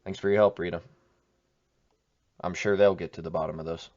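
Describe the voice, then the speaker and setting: A man's voice: deep voice, fairly even intonation, brisk, a little mellow, lightly acted. A man playing a probing, persistent suburbanite questioning neighbors in an audio drama. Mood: concerned. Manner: questioning, insistent. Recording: muffled, clearly noisy track.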